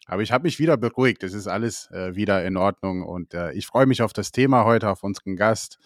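A man's voice: fairly low pitch, fast talker, dry recording, clean studio sound. A man co-hosting an investing podcast, talking casually with many fillers and reading a passage aloud. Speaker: co-host. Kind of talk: podcast chat.

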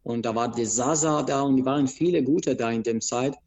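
A man from Iran with an Iranian accent, a wrestler recounting his career in an interview, speaking in halting, broken phrases with many restarts. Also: medium pitch, darkish tone, muffled recording. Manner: broken grammar, frequent restarts and hesitations. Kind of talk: interview, telling his life story.

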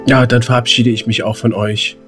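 A man's voice: mid-range voice, flat delivery, moderate pace, music in the background. A man co-hosting a podcast in casual, colloquial banter, silly and given to mock grumbling. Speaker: podcast co-host.

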